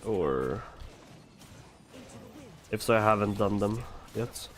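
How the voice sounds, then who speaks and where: A man livestreaming a video game, giving casual, halting commentary and replying to chat, with some noise behind him. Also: lowish voice, lively intonation, fairly bright tone.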